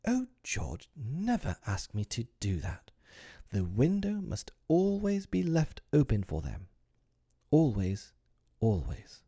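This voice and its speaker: A man voicing a timid, pleading mother, raised high. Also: wildly swooping pitch, slow, mellow, husky, full character voice. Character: gentle anxious mother, woman, middle-aged. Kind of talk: audiobook character voice.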